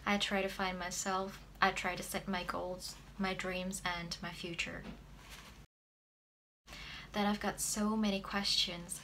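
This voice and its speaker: Woman, twenties, Indonesian accent, mid-pitched, personal vlog storytelling, slight room noise behind. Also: a bit bright, poor audio. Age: 20s. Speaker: vlogger telling personal story. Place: small plain room indoors.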